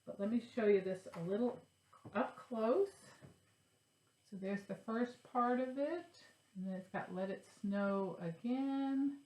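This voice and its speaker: A woman's voice: on the high side, a little slow, darkish tone. Woman, nasal and congested, chatty and upbeat, echoey room. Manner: chatty, upbeat, shows her stitching. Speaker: cross-stitch vlogger. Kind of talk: casual chat to camera.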